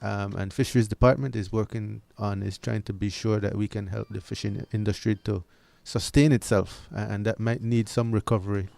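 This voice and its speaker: A man speaks as an interview guest in a fairly low, creaky voice. He explains earnestly, pausing and restarting, in a dry close studio sound. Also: steady tone, mellow, no echo. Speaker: studio guest, conservation group representative. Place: radio studio.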